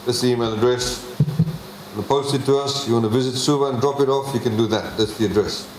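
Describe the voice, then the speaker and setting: A man, presenting a budget to a public meeting in a measured way, with many pauses and fillers. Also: medium pitch, a little hiss or hum. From Fiji. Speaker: government minister presenting a budget. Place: public consultation meeting hall. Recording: echoey hall, faint ambience.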